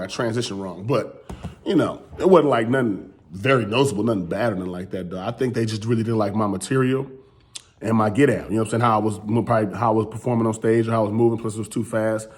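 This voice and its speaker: A man and battle rapper with a strained, hoarse voice, recapping his round in loose, rambling casual talk. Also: mid-range voice. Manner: loose, rambling, repeats "you know what I'm saying". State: losing his voice.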